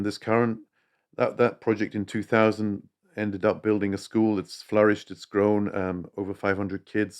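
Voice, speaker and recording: A man in his fifties, raised in East Africa and the UK, presenting a charity project in a hesitant way, with frequent restarts, repeated words and throat clearing. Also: low-pitched. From Uganda, raised in East Africa then UK. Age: 50s. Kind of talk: unscripted appeal talk.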